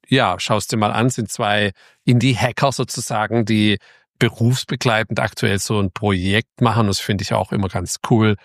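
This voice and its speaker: A man's voice: on the low side, dry recording, studio quality. A man hosting a podcast, opening the episode and interviewing his guest in a casual, informal way. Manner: chatty, informal, with frequent restarts. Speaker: podcast host.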